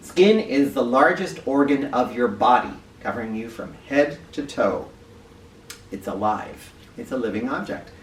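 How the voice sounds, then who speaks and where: A man hosting an educational read-aloud for children, speaking directly to them with clear emphasis and questions. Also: medium pitch, animated, unhurried. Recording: roomy, distant mic with faint noise.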